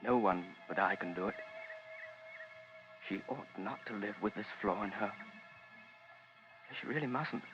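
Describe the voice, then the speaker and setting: A man's voice: on the low side, a little slow, long pauses, natural voice. An actor playing a brooding, obsessed young man in intense 1950s radio style. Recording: muffled vintage radio, music behind.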